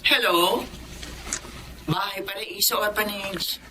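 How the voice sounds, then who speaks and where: A woman's voice: mid-range voice, fairly even intonation, takes it slowly, background noise, lightly acted. Woman acting as consoling, motherly Filipino nun. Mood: fond, concerned. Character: caring, devout orphanage nun, gently advising. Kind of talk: Tagalog radio drama acting.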